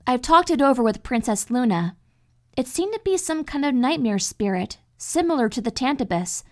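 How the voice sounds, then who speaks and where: A woman voicing a calm, caring cartoon pony mentor in an audio story, reassuring and explanatory. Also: high voice, normal speed. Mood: thoughtful. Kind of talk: dramatic fan fiction audio reading.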